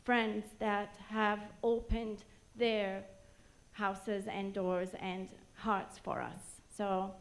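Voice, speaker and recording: A woman's voice: mid-range voice, flat delivery, extremely slow. A woman from Jerusalem giving an earnest, rousing speech at a rally in short phrases. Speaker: rally speaker.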